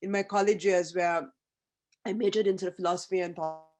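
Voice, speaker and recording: A person in their fifties from Sri Lanka speaks at a panel over a video call. The delivery is thoughtful and halting, full of ums, uhs and restarts. The voice sits at a middle pitch. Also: steady tone, darkish tone. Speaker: academic panelist. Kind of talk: reflective panel talk.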